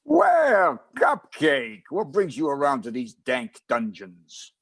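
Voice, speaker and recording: Guy doing a wisecracking old radio cop, husky, wildly up and down, teasing and hammy. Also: medium pitch, wildly swooping pitch, takes it slowly, fairly bright tone, full character voice. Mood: teasing, mock-hurt. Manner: bantering, mock-dramatic. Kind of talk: old-time radio detective acting.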